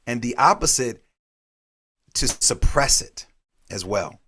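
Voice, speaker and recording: A man hosting an interview, asking quick, enthusiastic questions with small restarts. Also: medium pitch, expressive, pushed and bright, slight background noise. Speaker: podcast host.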